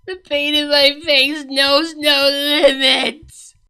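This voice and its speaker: A woman voicing a weary female pony princess who complains slowly and flatly of constant pain. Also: sits high, faint music behind, voice pushed up, full character voice. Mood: monotone misery. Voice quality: slow, droning, flat complaining.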